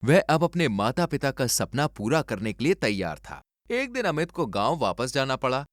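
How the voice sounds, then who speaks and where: A man's voice: mid-range voice, sing-song, normal speed, dry recording, studio quality. A man reading a scripted moral story as a storyteller.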